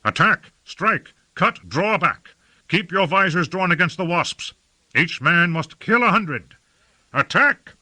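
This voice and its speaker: A man's voice: fairly high pitch, lively intonation, normal speed, voice pushed up. A man voicing an imperious, hot-tempered lord in an audiobook, harsh and urgent as he gives commands. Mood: battle fervour. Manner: urgent, peremptory, shouting commands.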